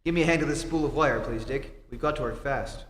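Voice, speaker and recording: A man's voice: mid-range voice, a little fast, over music, close to an everyday voice. A man playing an earnest, decisive male reporter in an old-time radio serial, urgent and emphatic, on a theatre stage. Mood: intent. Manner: urgent, emphatic, takes charge. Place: theatre stage in a live performance. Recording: echoey hall, hum and clear noise.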